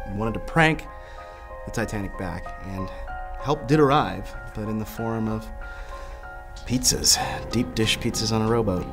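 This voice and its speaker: A man's voice: on the low side, measured pace, long pauses. A man telling comic stories in a slangy way, acting out the quotes as he goes. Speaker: comedian talking head. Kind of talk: comic storytelling to camera. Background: music.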